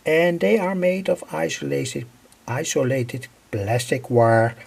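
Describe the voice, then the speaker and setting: A man presents a technical how-to in an informal, halting style. His pace is very unhurried and his voice is rough and husky, with plenty of fry in it. He leans often on short filler words as he explains. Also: mid-range voice, a little mellow. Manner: halting, repeats filler phrases like "say". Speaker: presenter of electronics project videos. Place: at home, indoors.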